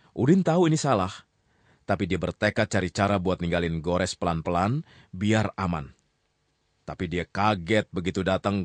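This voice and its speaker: A man's voice: sits low, no echo. A man hosting and narrating a comic crime story in a playful, teasing way. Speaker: storytelling host.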